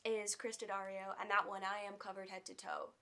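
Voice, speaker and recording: Young actress, soft quiet voice, candid and chatty, lots of likes and ums. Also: female voice, medium pitch, flat delivery, fairly quick. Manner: open, candid, uses fillers like "like" and "um". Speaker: actress, guest. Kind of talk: casual interview.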